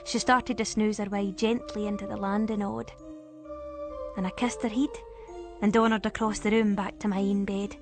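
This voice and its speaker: A woman with a Scottish accent narrating a first-person ghost story in a suspenseful, expressive way. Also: mid-range voice, moderate pace, music in the background.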